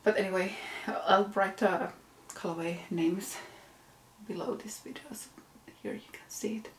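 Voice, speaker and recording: A woman's voice: mid-range voice, steady tone, normal speed, echoey room, a little hiss or hum. A Finnish woman with a Finnish accent, a knitting podcast host, chatting casually with frequent fillers and self-corrections. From Finland. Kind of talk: casual show-and-tell chat.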